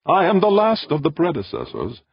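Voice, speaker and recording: A man voicing an ancient, calm, self-aware alien machine in a sweet tenor, with measured, formal, unemotional speech for an audiobook story. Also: a little high, husky, no room sound, dull audio, pitched above the performer's own voice, full character voice. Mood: calm, solemn.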